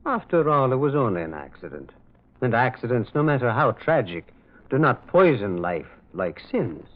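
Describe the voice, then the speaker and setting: A gentle priest detective in an old radio mystery. His delivery swings widely in pitch, hesitant yet kindly as he moralizes. The sound is thin and narrow, like an old phone line. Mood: gently consoling. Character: gentle, earnest priest detective, mild and thoughtful. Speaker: actor playing the lead.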